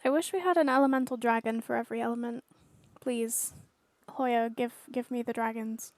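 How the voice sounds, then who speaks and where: A woman with a fairly high voice gives casual playthrough commentary as a game streamer. The sound is close and dry. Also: fairly even intonation, normal speed, no room sound.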